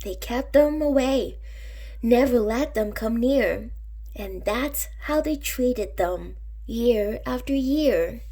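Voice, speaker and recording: High, animated woman reading a storybook. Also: slow, slightly rough. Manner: dramatic, emphatic phrasing for children. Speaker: children's storybook reader. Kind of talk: expressive read-aloud of a rhyming picture book.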